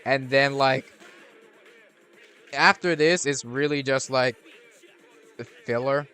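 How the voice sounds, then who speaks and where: A man's voice: mid-range voice, steady tone, takes it slowly, bright, forceful tone, smooth, faint music behind. A man hosting a gaming channel, rambling through casual gameplay commentary with lots of restarts and fillers. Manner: rambling, many restarts and fillers, laid-back.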